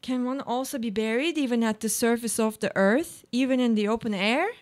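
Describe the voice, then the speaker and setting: A woman's voice: fairly high pitch, a little fast, a little mellow, close to an everyday voice. A woman playing a troubled, self-doubting housewife in a reflective, questioning radio play monologue. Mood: anxious, bewildered.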